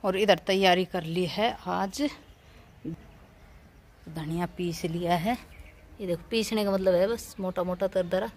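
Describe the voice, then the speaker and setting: A woman's voice: fairly low pitch, fairly even intonation, unhurried, long pauses, a little mellow. A middle-aged woman with a Haryanvi accent chatting casually and giving instructions in a home vlog. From rural Haryana, India. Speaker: family vlogger, mother. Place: village house and open courtyard. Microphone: handheld phone.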